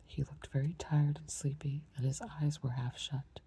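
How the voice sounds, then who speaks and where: A woman reading a storybook aloud in a soft, breathy whisper. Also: deep voice, flat delivery, moderate pace. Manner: soft unvoiced whisper throughout. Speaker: storybook reader.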